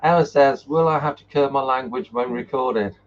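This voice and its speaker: A man hosting a casual paranormal live chat and reading out viewer comments, talking in an informal, halting way. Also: mid-range voice. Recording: muffled, noisy live-stream audio.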